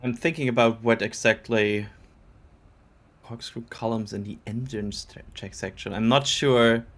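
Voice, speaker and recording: A man's voice: medium pitch, steady tone, a little slow. A man co-hosting a casual live commentary stream, speaking hesitantly and often correcting himself. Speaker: co-host.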